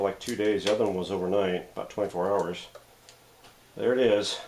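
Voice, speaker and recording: A man with a low voice and a lot of gravelly fry talks casually through a hands-on repair demonstration. He is unscripted, explaining things step by step, with clear background noise and small clicks around him. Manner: unscripted, talking through steps while handling parts. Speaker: host of a vintage radio restoration channel. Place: home workshop.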